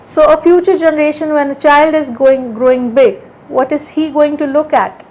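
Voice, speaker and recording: A woman with a notably high voice speaks earnestly in a relaxed interview. She is an art conservator from India who thinks aloud, circling back and rephrasing as she goes. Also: moderate pace. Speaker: art conservator, podcast guest. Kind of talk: casual interview conversation.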